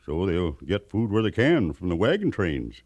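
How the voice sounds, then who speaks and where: A weathered frontier scout in an American radio western. His voice is low and very expressive, rising and dropping widely. He talks in a plain, folksy drawl with rustic grammar, and the sound is close and dry. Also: normal speed, no echo. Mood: grave, urgent. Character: weathered mountain man scout, plainspoken, living among the Arapaho. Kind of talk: 1950s western radio drama acting.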